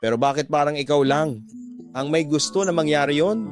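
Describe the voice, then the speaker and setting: A man's voice: on the high side, brisk, lightly acted. A man voicing a young woman in a radio drama who questions her lover earnestly, troubled and torn. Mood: confused, reproachful. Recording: music bed behind the voice.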